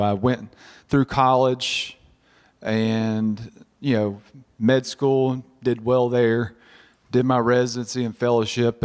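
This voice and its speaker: Man in his thirties, husky from a cold, halting testimony, mid pitch, long church-hall reverb. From southern West Virginia. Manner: halting, many restarts and fillers. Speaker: doctor giving a church testimony. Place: church sanctuary.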